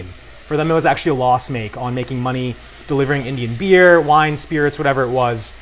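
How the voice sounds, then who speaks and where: Male guest cook, medium pitch, upbeat promotional delivery, casual demo style, sizzle behind the voice. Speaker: guest cook and beer brand co-founder. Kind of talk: casual cooking demonstration talk. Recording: clear noise of frying and sizzling. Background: sizzling pan in a cooking demo.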